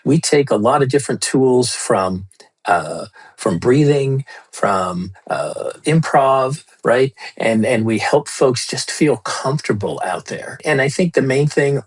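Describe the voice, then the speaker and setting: A man with a husky, slightly raspy voice answers interview questions as a guest. He talks loosely and conversationally, often restarting sentences and filling gaps with "uh" and "you know," at a middling pitch. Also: lively intonation, unhurried. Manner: loose, with many fillers and restarts. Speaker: guest, storytelling lead at a space lab.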